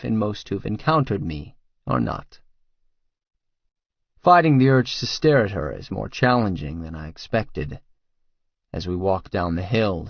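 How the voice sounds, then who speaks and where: A man's voice: mid-range voice, gravelly, no room sound, muffled recording. A man narrating first-person fiction as a tough, crude, brooding hitman, terse and guarded. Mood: grim, guarded. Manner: terse, hard-boiled, profane. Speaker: audiobook narrator.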